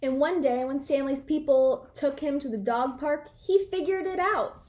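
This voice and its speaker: A woman with a high-pitched voice narrates a children's storybook. She reads expressively, in an animated read-aloud style, leaning on key words for emphasis. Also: moderate pace, muffled recording.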